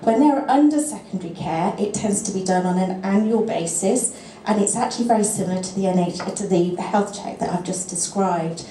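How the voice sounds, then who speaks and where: A woman gives a talk and fields questions in a lecture room. Her tone is mellow and warm, and she picks her words carefully, with small restarts. The sound is roomy, with a faint hiss behind her. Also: mid-range voice. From England. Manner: measured, thinks aloud with fillers and restarts. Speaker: speaker at a GP teaching session. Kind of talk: presentation and answering questions.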